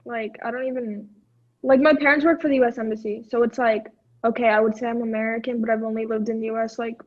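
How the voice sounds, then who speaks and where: A woman chatting casually with friends, relaxed and rambling with many fillers. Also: on the high side, fast talker, lo-fi sound. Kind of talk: casual chat among friends.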